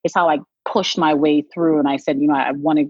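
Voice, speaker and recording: A woman's voice: sits low, steady tone, brisk, darkish tone, breathy edge, dry recording, dull audio. A middle-aged woman from Barbados, a lawyer and podcast guest, talking reflectively in an interview and laughing often. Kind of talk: conversational interview.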